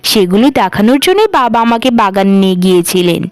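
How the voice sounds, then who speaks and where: A woman voicing a gentle, sensible youngest landlord's daughter in a cartoon, earnest and conciliatory. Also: mid-range voice, very animated, fast, smooth, no echo, lightly acted. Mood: respectful, innocent. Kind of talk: cartoon voice acting.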